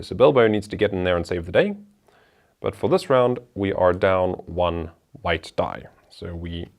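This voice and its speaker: A man's voice: fairly low pitch, gravelly. A man hosting a board game show, talking through the rules and each move of a solo playthrough in a chatty way.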